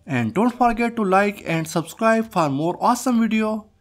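High, lively man narrating a tutorial. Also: moderate pace. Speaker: tutorial host. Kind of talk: scripted software tutorial narration. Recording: music behind the voice. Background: background music.

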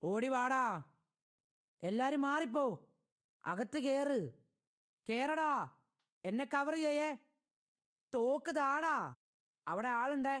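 A man's voice: very high-pitched, slow, pauses often, a bit bright. A man delivering short catchphrases and callouts for a game voice pack.

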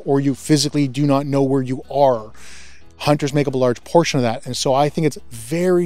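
Man, 30s, from Central Ontario, survival instructor, earnest steady delivery, outdoors, lapel mic, dry close sound. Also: medium pitch, dry recording. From Central Ontario, Canada. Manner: earnest, steady explaining with hand gestures. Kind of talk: outdoor instructional talk to camera. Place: outdoors in leafless woods.

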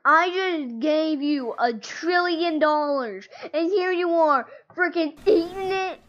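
A woman's voice: high voice, slow, fairly bright tone, highs cut off, pitched above the performer's own voice. A woman voicing a hot-tempered, bossy evil animatronic bear in a game role play, with whiny, indignant outbursts, on a noisy home recording. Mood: indignant, whining.

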